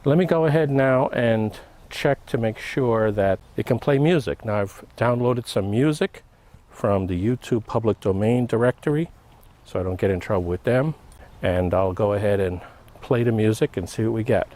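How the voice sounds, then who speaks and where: A man's voice: on the low side, moderate pace, warm tone. A man giving a casual hands-on product demonstration outdoors, thinking aloud in short step-by-step remarks. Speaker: product reviewer. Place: outdoor backyard.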